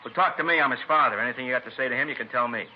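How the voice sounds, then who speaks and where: A man playing a fast, belligerent tough-guy father. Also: mid-range voice, lightly acted. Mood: defiant, suspicious. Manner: combative, slangy tough-guy talk. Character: belligerent, protective father of a teenage gang leader. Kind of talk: 1950s radio crime drama acting. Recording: muffled old radio recording, faint noise.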